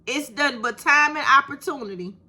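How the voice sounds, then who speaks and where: A woman in her fifties with a soft voice, talking straight to her viewers in a casual, encouraging way and calling them "baby". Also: high-pitched, a little fast. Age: 50s. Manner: animated, encouraging, talks to viewers with "baby" and "you hear me". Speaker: YouTube creator. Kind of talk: casual direct-to-camera talk.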